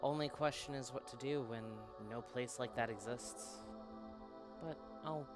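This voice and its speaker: A defiant young dreamer, acted by a man, speaking in a mid-pitched voice with quiet, wistful stubbornness. Loud music plays behind this visual novel reading. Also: steady tone, unhurried, natural voice.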